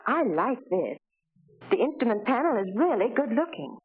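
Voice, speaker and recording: A woman's voice: fairly high pitch, sing-song, moderate pace, slightly rough, faint music behind. An actress playing a well-bred, admiring lady car shopper, genteel and carefully enunciated, in 1940s promotional film style. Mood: delighted. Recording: tinny 1940 film soundtrack.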